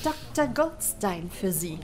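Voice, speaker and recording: A woman's voice: medium pitch, big pitch swings, slow. A woman playing a brusque, proud scientist in an audio drama, curt and commanding. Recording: background crowd murmur.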